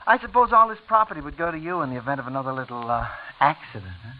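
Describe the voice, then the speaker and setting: A man's voice: medium pitch, rapid-fire, natural voice. An American man playing a sly, menacing former prosecutor with a smug, insinuating manner in a 1940s radio drama. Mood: sly menace. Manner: smug, insinuating, drawling little 'huh' tags. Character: sly, menacing former prosecutor blackmailing his brother's wife. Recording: tinny, hissy 1940s radio recording.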